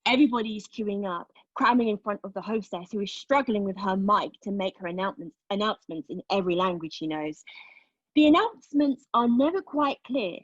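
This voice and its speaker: Woman acting a reflective, wounded woman, husky voice, intimate stage monologue, deliberate pauses, dry muffled recording. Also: medium pitch, lively intonation, no echo, lightly acted. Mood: excited, absorbed. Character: wounded, reflective woman recounting lost love and travel. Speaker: actor in a play table read. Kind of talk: dramatic monologue reading.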